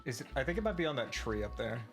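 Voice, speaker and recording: A man's voice: mid-range voice, big pitch swings, very fast, gravelly, a bit bright, faint music behind. A man streaming a game in casual chat, joking, laughing often and swearing.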